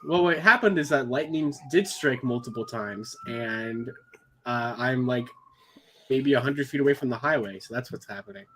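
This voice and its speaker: A man's voice: mid-range voice, animated, normal speed, sharp tone, a little hiss or hum, low-quality recording. A congested man co-hosting a casual podcast, rambling with fillers and chuckling often. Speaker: podcast co-host. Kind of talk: casual chat. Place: indoors near a highway.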